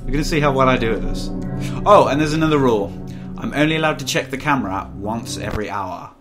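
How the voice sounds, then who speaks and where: A young man with a sore throat, slightly hoarse and nasal, chatting casually through a game, restless with sudden bursts of excitement. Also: mid-range voice, quick pace. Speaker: gaming video creator. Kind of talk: casual gameplay commentary. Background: game music and mains hum.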